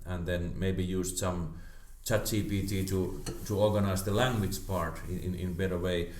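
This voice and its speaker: A man's voice: low-pitched, fairly even intonation, normal speed, a little mellow. A middle-aged man from Finland chatting casually, stammering and repeating words as he thinks aloud. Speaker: karate teacher. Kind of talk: casual chat. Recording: echoey room.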